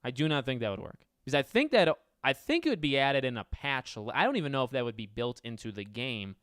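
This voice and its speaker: A man hosting a casual livestream Q&A, reading out viewer questions and answering with a raspy voice and a rambling, self-correcting manner. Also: medium pitch, big pitch swings, fast, fairly bright tone, no room sound, studio quality.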